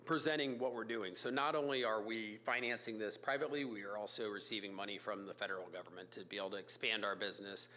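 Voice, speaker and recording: A man with a raspy voice from a cold, a company executive making a casual, filler-heavy pitch to a city council. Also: fairly even intonation, bright, forceful tone. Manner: informal, fillers and restarts, brisk business pitch. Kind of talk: public comment at a council meeting. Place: council chamber.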